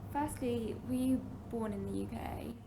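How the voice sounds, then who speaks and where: A woman's voice: fairly high pitch, a little slow, gravelly, warm tone, slight background noise. A woman interviewer opening an oral history recording and asking polite, orderly questions.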